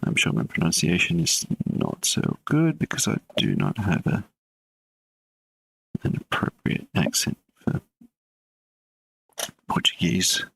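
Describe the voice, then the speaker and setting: Male game dev, live stream; deep, husky, rambling thinking-aloud delivery. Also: slow, long pauses, dry recording, poor audio. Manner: relaxed, mutters while working, chats with viewers between code.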